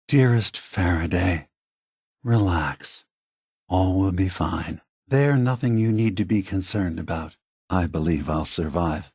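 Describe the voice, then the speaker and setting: A man playing a weary, grave synth leader in a video game, speaking deliberately and solemnly with a husky voice. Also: deep voice, darkish tone, no room sound, clean studio sound, heavily acted. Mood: calm reassurance. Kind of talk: scripted video game voice acting.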